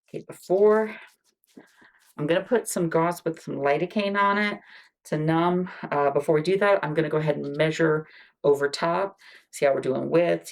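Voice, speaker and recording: A woman doing an ASMR medical exam roleplay as a wound care clinician, talking gently and reassuringly, with many soft "okay"s and whispered asides. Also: low voice, vocal fry.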